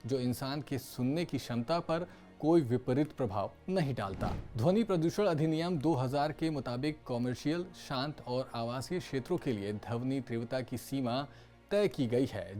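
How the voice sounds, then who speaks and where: A man's voice: mid-range voice, warm tone. A man presenting scripted news narration as a news presenter. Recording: music bed behind voice. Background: background music.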